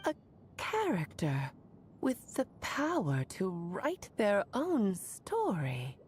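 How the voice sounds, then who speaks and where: An actress playing a playful, affectionate magical woman in a video game, with theatrical, expressive phrasing. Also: mid-range voice, slow, a little hiss or hum. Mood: intrigued. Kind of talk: scripted video game voice acting.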